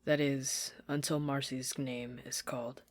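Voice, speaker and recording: A fan fiction reader narrating a story in a steady, soft-spoken way into a desktop condenser mic. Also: mid-range voice, measured pace, dry recording. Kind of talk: podfic narration.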